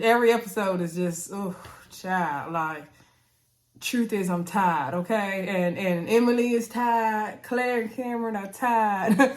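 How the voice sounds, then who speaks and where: A woman talks casually to her viewers, recapping a reality show. She is animated and chatty, and her voice sounds congested from a recent cold. Her pitch sits in the middle range. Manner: chatty, animated, says "y'all" and "anywho". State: congested, coughing.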